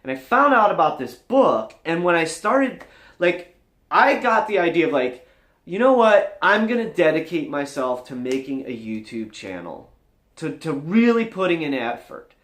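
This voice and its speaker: A man's voice: a little high, big pitch swings, moderate pace, fairly bright tone, roomy sound. A man talking candidly to viewers as a vlog host, earnest, confessional and emphatic, in a motivational style.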